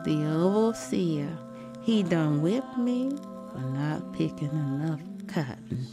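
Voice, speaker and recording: A woman's voice: low voice, lively intonation, takes it slowly, over music, heavily acted. A woman voicing an elderly, formerly enslaved Southern grandmother, sorrowfully remembering in weary dialect storytelling. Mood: pained, remembering.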